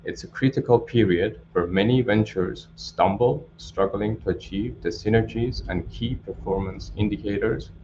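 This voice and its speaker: A man hosting a webinar, reading a formal scripted introduction in a measured way. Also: lowish voice. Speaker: webinar host. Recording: dull lo-fi audio, clear background noise.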